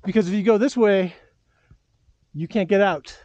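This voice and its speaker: A man's voice: sits high, normal speed, darkish tone, no echo. A man talking casually as he vlogs from a ski slope outdoors. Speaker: vlogger. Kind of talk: casual outdoor skiing vlog. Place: outdoors on a snowy mountain slope.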